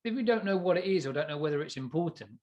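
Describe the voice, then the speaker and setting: A man's voice: on the high side, animated, very fast, darkish tone, lo-fi sound. A man co-hosting a podcast, sounding stuffy and nasal from hay fever, rambling with stammered restarts and frequent laughter. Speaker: podcast co-host. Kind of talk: casual chat.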